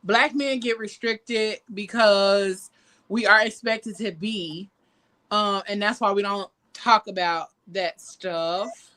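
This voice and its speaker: A woman co-hosting a casual podcast chat, trading teasing, quick banter. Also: mid-range voice, fairly even intonation, a bit bright. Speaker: podcast co-host.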